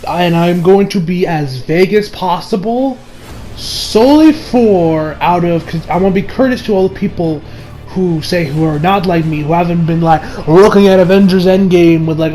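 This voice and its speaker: High-voiced young man rambling through a review. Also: a little mellow, low-quality recording. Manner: rambling, repeats and rephrases himself. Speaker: movie reviewer. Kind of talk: casual spoken review over gameplay.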